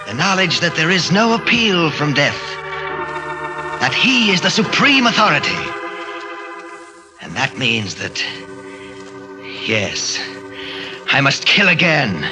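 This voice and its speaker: An actor playing an obsessed, murderous artist with a grandiose, falsely courteous manner in a 1940s radio horror drama. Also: male voice, fairly high pitch, very animated, slow pace, long pauses. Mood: feverish, obsessed. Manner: theatrical, brooding monologues, falsely courteous with others. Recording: vintage 1940s radio recording, music behind.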